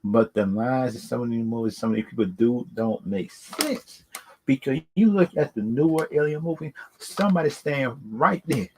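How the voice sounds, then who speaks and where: A man's voice: mid-range voice, normal speed, slight background noise, low-quality recording. A man giving casual, unscripted opinions as a video host, rambling and often repeating words and stock phrases. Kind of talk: casual unscripted opinion talk on films and games.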